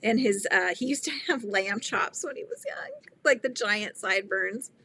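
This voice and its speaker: A woman's voice: mid-range voice, normal speed, sharp tone, slight background noise. A middle-aged woman hosting a casual crochet livestream, chatting and laughing as she reads viewer comments. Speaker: livestream host. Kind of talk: casual chat while crocheting.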